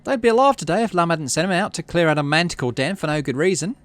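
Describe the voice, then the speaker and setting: A man voicing a bitter, defiant female colonist in a video game, yelling accusations. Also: a little high, lively intonation, quick pace, pitched above the performer's own voice, lightly acted.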